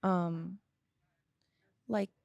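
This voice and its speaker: A young woman in her early twenties with a lowish, crackly voice talks candidly in an interview. She is reflective and halting, reworking her sentences as she goes. The recording is close and dry. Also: fairly even intonation, vocal fry, darkish tone, dry recording, dull audio. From United States, grew up partly in Florida and abroad. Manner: halting, reflective, self-correcting. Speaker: podcast guest. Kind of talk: candid conversational interview answers. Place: small indoor lounge, on a leather couch. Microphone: close boom-arm podcast microphone with headphones.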